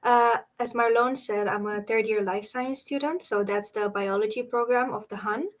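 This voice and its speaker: A young woman from Romania, a university student, speaking casually and hesitantly with many pauses and "um"s. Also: fairly high pitch, steady tone. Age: young adult. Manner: hesitant, searching for words, many "um"s. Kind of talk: casual webinar chat.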